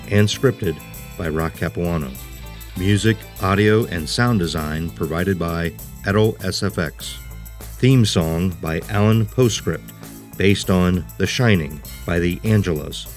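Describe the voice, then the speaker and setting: A man reading scripted credits as an announcer, formal and deliberate. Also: low-pitched. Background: faint music.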